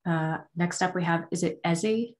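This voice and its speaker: Young woman teacher on headset, kinda low voice, quick and upbeat moving people along. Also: slight background noise. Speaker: instructor. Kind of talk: leading introductions on a video call. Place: home room.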